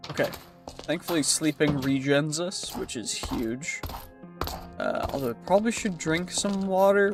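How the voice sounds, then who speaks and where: A young male streamer talks through his gameplay, stuffy and congested from a recent cold. His voice sits a little high, and he keeps a relaxed, meandering tone, often telling himself it's all fine. Soft game music plays quietly underneath. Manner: easygoing, thinking aloud, self-reassuring. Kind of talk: casual gameplay commentary.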